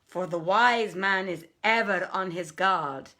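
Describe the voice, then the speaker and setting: A woman voicing a wise male wazir who gravely counsels his king in formal, emphatic, declamatory storybook language. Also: fairly low pitch, takes it slowly, sharp tone, exaggerated voice. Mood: earnest, urgent. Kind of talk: storybook reading of an old tale.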